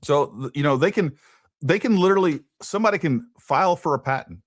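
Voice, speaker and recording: A man's voice: a little high, animated, fairly quick, no echo, clean studio sound. A man hosting a casual political talk show, thinking aloud and repeating words as he goes. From Texas. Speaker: podcast host.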